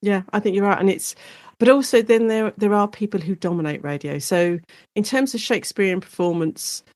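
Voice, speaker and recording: A woman guest academic explaining her subject in a conversational interview, chatty and animated, thinking aloud as she goes. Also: mid-range voice, a little fast, no room sound, studio quality.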